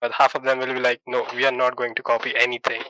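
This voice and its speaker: A man's voice: mid-range voice, completely flat delivery, fast, bright, forceful tone, dry recording, poor audio. A man from India, speaking as an in a casual podcast chat, laughing often and searching for words. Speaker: podcast host.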